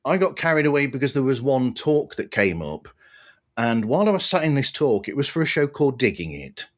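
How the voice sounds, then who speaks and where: A man's voice: mid-range voice, big pitch swings, brisk. A man hosting a conversational podcast interview, rambling a little as he thinks aloud. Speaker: podcast host.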